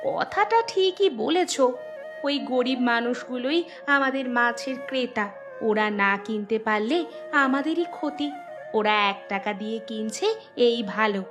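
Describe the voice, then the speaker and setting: Cartoon village wife female performer: agreeable, earnest, raised pitch, clear music bed. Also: animated, unhurried, a bit bright. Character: practical village wife, questioning yet agreeable. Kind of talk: Bengali cartoon story acting.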